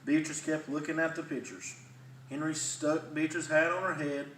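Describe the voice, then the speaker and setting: A man reading a children's storybook aloud in an animated way. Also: fairly high pitch, normal speed. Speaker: firefighter reading a children's book. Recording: roomy, distant mic, faint noise.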